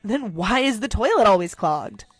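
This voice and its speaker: A woman's voice: medium pitch, very animated, moderate pace, husky, no echo, soft background music. A woman voicing a feisty, outspoken seventeen-year-old schoolgirl in a storytime tale, speaking earnestly. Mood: defiant.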